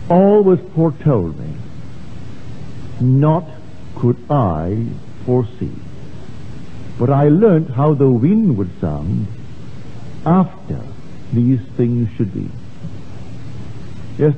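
A man from Barbados giving a sermon-like spiritual lecture, telling personal stories emphatically and drawing out his quotations. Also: medium pitch. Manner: emphatic storytelling with drawn-out quotations. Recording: tinny, band-limited, noisy old lecture recording.

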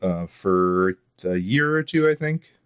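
A man's voice: low-pitched, animated, slow pace, smooth, no echo, studio quality. A man appearing as a podcast guest, chatting casually in a joking, easygoing manner. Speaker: podcast guest, audio drama producer. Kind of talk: casual chat.

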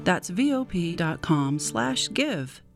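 A woman's voice: fairly low pitch, sing-song, takes it slowly, gravelly. Woman reading an ad over guitar. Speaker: announcer. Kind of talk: scripted donation ad read.

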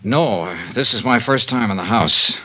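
An actor playing a steady, wry, sympathetic male insurance investigator in a 1950s radio drama, with a measured, gentle hard-boiled manner. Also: mid-range voice, rough recording.

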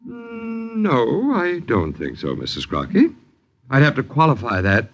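An actor playing an uppity, needling boarder in a 1950s radio drama, with florid diction and mock politeness. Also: male voice, fairly high pitch, huge pitch swings, a little slow, a bit bright, lo-fi sound, full character voice. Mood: sardonic. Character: suave, sardonic, uppity boarder who needles his landlords.

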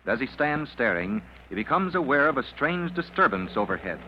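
A man's voice: mid-range voice, big pitch swings, moderate pace. A man giving dramatic, scripted narration in the style of a 1940s radio serial. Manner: dramatic, storytelling. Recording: muffled old radio recording, faint noise.

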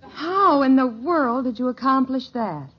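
High-voiced, sensible busy mother. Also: animated, unhurried, breathy edge, heavily acted. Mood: puzzled. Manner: practical, fussing. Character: sensible, busy housewife mother, mildly exasperated. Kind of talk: 1950s radio sitcom acting. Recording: muffled, noisy old radio recording with clicks.

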